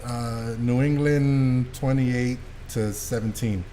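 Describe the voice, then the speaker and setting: A male podcast host talks in a casual, conversational way with a medium-pitched voice. There is some room noise around him as he chats. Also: steady tone, slow pace, warm tone.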